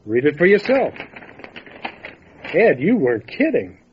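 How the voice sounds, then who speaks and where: A man playing a calm, dry, matter-of-fact country sheriff in an old-time radio drama. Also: a little high, unhurried. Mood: puzzled. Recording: dull lo-fi radio drama recording, faint noise.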